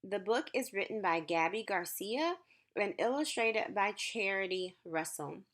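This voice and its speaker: Slow, expressive woman reading to children. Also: medium pitch. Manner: encouraging, reassuring, addressing young children. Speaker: school counselor reading aloud to children. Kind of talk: children's picture book read-aloud with explanatory asides.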